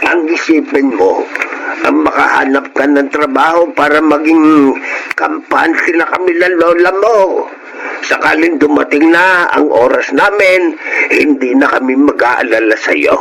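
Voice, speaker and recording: An actor playing a kindly, protective elderly grandfather in an audio drama, fussing and reassuring with soft hesitations. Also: fairly high pitch, measured pace, vocal fry. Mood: earnest. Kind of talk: Filipino audio drama acting. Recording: noisy recording.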